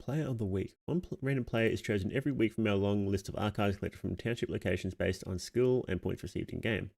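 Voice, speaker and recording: A man with a fairly low voice hosts a casual livestream. He reads text from the screen out loud and adds his own offhand reactions, thinking aloud as he goes. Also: fast, creaky. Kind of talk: casual livestream reading and commentary.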